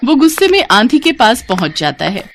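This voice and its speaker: A woman narrating a children's moral tale in an expressive, dramatic storytelling style. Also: fairly high pitch, fairly quick, slight background noise.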